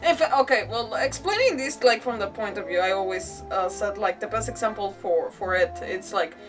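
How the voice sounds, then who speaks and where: A woman's voice: medium pitch, lively intonation, normal speed, distant mic, soft background music. A woman live-streaming a game, commenting in an informal, reactive way and rambling through her explanations. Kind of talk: casual live let's-play commentary.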